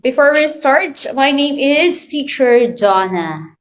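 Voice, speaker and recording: A woman's voice: high voice, animated, slow, slight background noise. A woman from the Philippines teaching an online English class to children, asking patient prompting questions over a video call.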